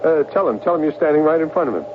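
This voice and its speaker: Desperate, paranoid man played by an actor, swooping pitch. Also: lively intonation, lightly acted. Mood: agitated, pleading. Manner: halting, agitated, pleading, repeats himself. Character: desperate paranoid male psychiatric patient, convinced aliens threaten mankind. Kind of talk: radio drama acting. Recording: narrow tinny old radio broadcast, hiss.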